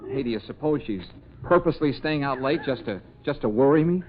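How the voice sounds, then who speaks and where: A man's voice: medium pitch, expressive, brisk, lightly acted. An actor playing an anxious, lovesick, self-pitying man in a 1940s radio drama, agitated and exasperated. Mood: worried. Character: anxious lovesick male private detective, self-pitying and flustered. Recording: dull, noisy old radio recording.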